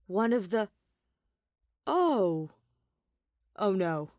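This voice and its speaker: A woman's voice: medium pitch, very animated, very slow, darkish tone, no room sound, studio quality. A woman voicing an anxious, bewildered young man with a hesitant, urgent, stammering delivery in a story reading.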